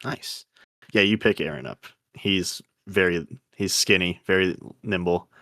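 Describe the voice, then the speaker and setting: A man's voice: low voice, a little slow, pushed and bright, no room sound, studio quality. A man acting as game master, narrating a tabletop role-playing game in an informal, slangy, conversational way.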